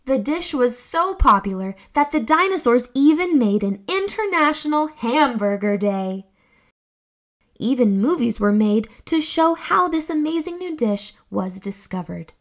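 Animated, unhurried young woman reading a storybook. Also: high voice. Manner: theatrical, deliberate storytelling. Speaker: children's storybook reader. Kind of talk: expressive scripted read-aloud narration for children.

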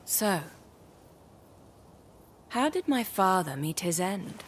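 An actress playing a cool, composed noblewoman with a measured manner, in a video game cutscene. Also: medium pitch, big pitch swings, noisy background. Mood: cool, probing. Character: cold, composed noblewoman, daughter of a warlord.

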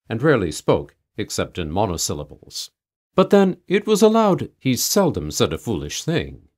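A man reading a humorous old literary tale aloud as an audiobook narrator, in measured, mock-solemn storytelling. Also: lowish voice. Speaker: audiobook reader.

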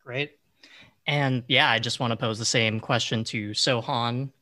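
A young man with a bright, forward voice at medium pitch moderates a discussion. He speaks deliberately and reflectively, framing his thoughts as questions. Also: fairly even intonation. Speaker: panel moderator and play adapter.

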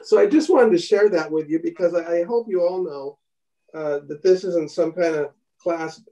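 A man's voice: fairly high pitch, mellow, far from the mic, dull audio. Earnest man teaching over a video call. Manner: earnest, halting restarts, reads aloud from a book. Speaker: bible study teacher. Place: home room indoors. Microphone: laptop webcam on a video call.

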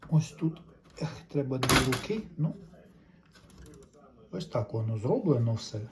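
A man's voice: mid-range voice, big pitch swings, unhurried, very noisy. A man giving casual hands-on commentary, chatting to viewers and thinking aloud as he works. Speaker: host of a tape recorder restoration video.

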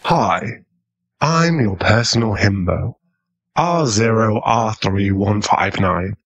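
Casting: male actor for an earnest British bruiser android, protective and up for a fight, unhurried delivery, audio roleplay. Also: lowish voice, lo-fi sound. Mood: eager, earnest. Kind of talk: ASMR boyfriend roleplay.

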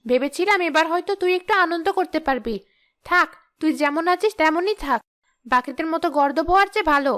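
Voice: sits high, lively intonation, a little fast, fairly bright tone. A performer voicing a bossy female ghost teacher in a children's cartoon, scolding and sarcastic. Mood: wry.

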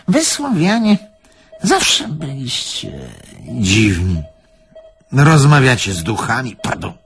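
An actor playing a nostalgic, proud older German man in an audio drama, speaking in a theatrical, deliberate, halting way. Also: medium pitch, fairly bright tone, full character voice. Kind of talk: Polish audio drama acting.

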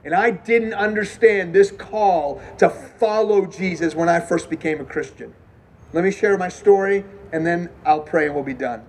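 A man in his thirties from Houston preaching an evangelistic sermon to a student crowd, animated and conversational, questioning the room. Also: high voice, moderate pace, a little hiss or hum. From Houston, Texas. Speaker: campus preacher. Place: dark stage in a large hall.

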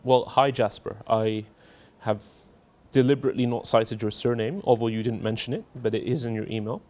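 A man in his thirties with a faint Scottish accent, a cleric in a TV studio reading out and answering viewer letters in a measured, earnest way. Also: medium pitch, a little mellow. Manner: measured, earnest, repeats words when starting sentences. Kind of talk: studio talk show, reading letters aloud. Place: indoor TV studio.

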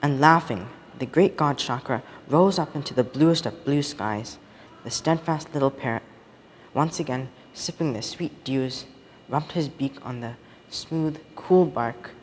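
A teenage male reads a scripted story with measured, expressive phrasing. His voice rings in a reverberant room, with faint noise behind it. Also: medium pitch. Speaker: student story reader. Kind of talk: scripted storytelling read aloud.

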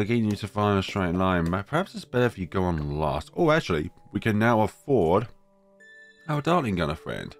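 A man with a cold narrating gameplay in a casual, wry way, talking to his game units as he plays. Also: low voice. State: has a cold, voice deeper than usual. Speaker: game guide creator.

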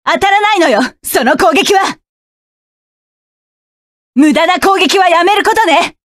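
A woman's voice: very high-pitched, unhurried, dry recording, clean studio sound. A woman voicing a proud, fierce young woman mech pilot who barks curt, commanding and scornful lines. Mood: cocky, commanding. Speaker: voice actress. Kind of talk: game voice clip collection.

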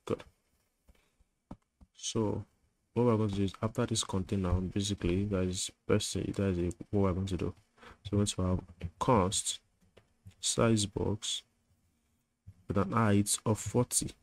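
A man with a low, level voice talks through code as he types it, stopping often to think aloud. His speech has fillers like "um" and quick self-corrections. He records at his computer in a relaxed, unscripted tutorial style. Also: rough recording. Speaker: coding tutor. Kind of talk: screen-recorded coding tutorial.

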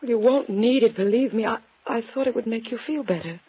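A woman's voice: on the high side, a little fast, breathy edge, no echo. An anxious blackmailed woman. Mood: tense, pleading. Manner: urgent, pleading. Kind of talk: 1940s radio drama acting. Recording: dull old radio broadcast with music.

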